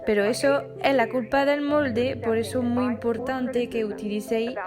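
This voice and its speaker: A woman with a French accent reads out ingredients and steps in an even, instructive voice-over. Her voice sits on the higher side, and clear music plays beneath her. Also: moderate pace. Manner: steady step-by-step instructions. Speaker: baking vlogger. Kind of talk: recipe voice-over narration.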